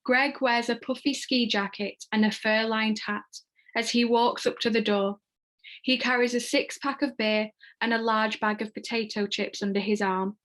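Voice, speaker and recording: Woman narrating screenplay stage directions, voice a bit on the high side. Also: fairly even intonation, normal speed, fairly bright tone. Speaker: stage-direction reader and host.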